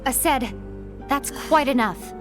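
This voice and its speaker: Dignified game heroine, formal and firm, slightly high voice, music underneath. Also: female voice, takes it slowly. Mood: stern. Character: composed, diplomatic, dignified woman warrior. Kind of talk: dubbed video game cutscene acting.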